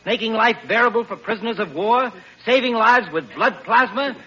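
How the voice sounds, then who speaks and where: A man's voice: high-pitched, normal speed, pushed and bright, breathy edge, full character voice. An American man playing a stern, exasperated banker in 1940s radio comedy style, scolding and lecturing emphatically. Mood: indignant, blustering. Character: stern, exasperated male banker, indignant and blustering. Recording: dull vintage radio recording.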